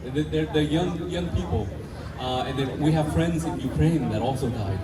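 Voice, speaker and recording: Earnest man, distant and echoey. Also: a little high. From Vietnam. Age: 50s. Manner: earnest, halting with restarts. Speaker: filmmaker being interviewed. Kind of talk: earnest festival interview. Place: outdoor festival. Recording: echoey, distant mic with crowd noise.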